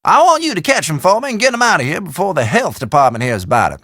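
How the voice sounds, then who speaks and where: A man's voice: high voice, big pitch swings, fast talker, fairly bright tone, dry recording, studio quality, exaggerated voice. A man playing a chatty, forgetful small-town shopkeeper in a video game, with a folksy, theatrical Southern country drawl. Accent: American Southern or South Central country.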